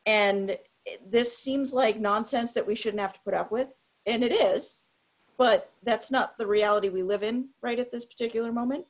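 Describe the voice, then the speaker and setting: A woman scientist speaking frankly on a webinar panel, deliberate and restarting often. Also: medium pitch, creaky, darkish tone. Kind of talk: panel discussion answer. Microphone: webinar video call.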